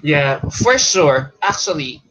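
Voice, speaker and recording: A man hosting a live-streamed interview, hesitant and restarting often, quick to laugh. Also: a little high, sing-song, a bit bright, slightly rough, rough recording. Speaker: show host.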